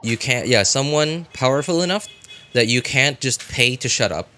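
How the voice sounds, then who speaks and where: A man giving casual live game commentary as a streamer, rambling and halting, often breaking off mid-thought to react. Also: mid-range voice, expressive, pushed and bright.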